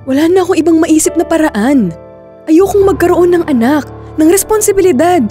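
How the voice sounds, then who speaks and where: A woman's voice: very high voice, expressive, fairly quick, dark tone, soft background music. A woman with a Filipino accent playing a desperate, stubborn young woman in a radio drama. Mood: desperate, heated. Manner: insistent, pleading, defensive.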